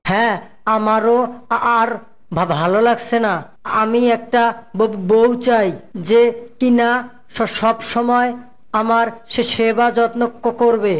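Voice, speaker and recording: A woman's voice: fairly high pitch, fairly even intonation, slow, pauses often. Woman as a stuttering cartoon fox sidekick. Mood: eager. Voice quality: heavy stutter, repeating syllables. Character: stuttering male fox sidekick, eager, scheming, lovelorn.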